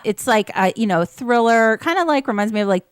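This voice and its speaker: A woman's voice: on the high side, lively intonation, a little fast, clear, resonant tone, no room sound. Dry-sounding woman in very casual chat. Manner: conversational, peppered with "like". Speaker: podcast panelist.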